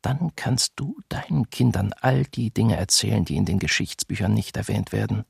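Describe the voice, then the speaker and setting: An actor playing a homesick German soldier in his early thirties, reading his diary in a radio docudrama with husky, measured delivery. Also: lowish voice, expressive, a little mellow, no room sound, studio quality, exaggerated voice. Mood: brooding, grim. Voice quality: husky, gravelly.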